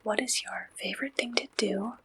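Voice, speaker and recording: A woman whispering an ASMR roleplay as an attentive, businesslike dating service agent, asking questions softly and deliberately in a breathy voice. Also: fairly high pitch, full character voice. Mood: gentle, attentive.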